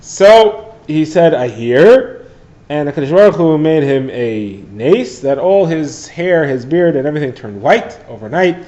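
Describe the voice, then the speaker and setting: A man with a somewhat high voice teaches the daily Talmud page. He retells the text as a plain story and mixes Hebrew and Aramaic terms into his English. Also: animated, unhurried, mellow, dull audio. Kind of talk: daily Talmud lesson for listeners.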